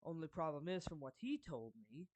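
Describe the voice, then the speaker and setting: A performer voicing a suave, courtly villain turned gently protective friend in an anime fan roleplay, speaking formally and deliberately with pauses mid-phrase. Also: medium pitch, sing-song, no room sound, natural voice. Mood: explanatory, grave. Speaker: character voice actor. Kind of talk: anime fan roleplay monologue addressed to the listener.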